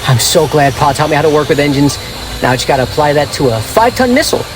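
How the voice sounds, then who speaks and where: An actor playing a boyish, folksy, plucky young soldier sidekick in an audio drama. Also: male voice, on the high side, very animated, rapid-fire, rough recording. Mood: nervous muttering.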